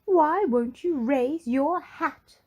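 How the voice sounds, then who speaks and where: Woman doing a prim bossy little storybook lady, high pitched n drawn out, super emphatic. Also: slow pace. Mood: stern, indignant. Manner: exclamatory, stern, emphatic. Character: prim, haughty little lady scolding about manners. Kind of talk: storybook character voice.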